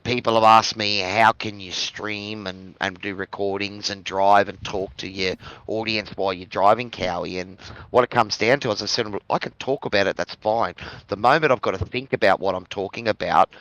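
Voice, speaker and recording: A man chats alongside the main caller in a relaxed, encouraging way. His voice sits low and comes across clear and resonant. The mic is close and dry. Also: moderate pace, no echo, dull audio. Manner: relaxed, friendly, coaching tone. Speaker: co-commentator and streamer. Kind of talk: casual commentary banter.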